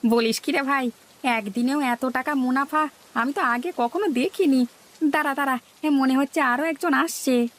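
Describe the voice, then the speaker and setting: A woman's voice: high-pitched, normal speed, natural voice. A woman voicing a naive, eager male village fish seller in a cartoon, with a questioning, simple-minded manner. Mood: amazed, delighted. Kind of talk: cartoon dialogue.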